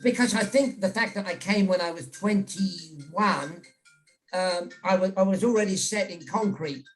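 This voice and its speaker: A man's voice: sits high, unhurried, soft background music. An older man from England with a British accent, a journalist guest chatting over a video call, rambling with many restarts and repeated words. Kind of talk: casual storytelling chat.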